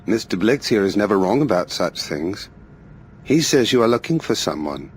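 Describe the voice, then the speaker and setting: A man's voice: on the low side, big pitch swings, normal speed, slight background noise. An actor playing an ingratiating fortune-teller's front man in a video game, theatrical and overly courteous. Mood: wheedling. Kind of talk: video game cutscene acting.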